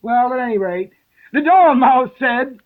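Shrill, trembling storybook hatter. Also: male voice, animated, measured pace. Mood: flustered. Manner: trembling, faltering, pleading. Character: wretched, fidgety, frightened hatter, a humble man. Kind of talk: storybook character voice. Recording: tinny, phone-like lo-fi recording.

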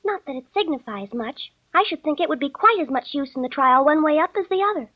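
A man voicing an indignant, outspoken young girl in a storybook reading, in a high, sing-song girlish voice. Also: expressive, fast talker, dull audio. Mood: matter-of-fact. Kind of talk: audiobook character voice.

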